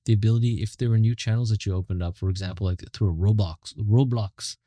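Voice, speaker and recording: A man's voice: lowish voice, quick pace, mellow, no echo. Casual man hosting an interview. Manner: casual, joking, "you know, like" fillers. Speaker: podcast host.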